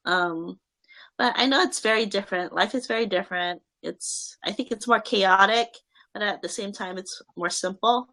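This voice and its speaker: A young woman talks through interview answers with a gravelly, creaky edge to her voice. Her tone is bright and a little tense, and she stops often to restart her sentences. She uses plenty of fillers as she goes. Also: medium pitch, moderate pace. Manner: hesitant, many fillers and restarts.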